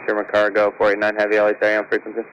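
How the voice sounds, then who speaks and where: A man's voice: fairly low pitch, monotone, very fast, a bit bright, highs cut off. A man working as an air traffic controller, giving clipped, procedural instructions to aircraft over a radio. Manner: procedural, matter-of-fact. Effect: aviation radio. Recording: noisy, band-limited air traffic radio.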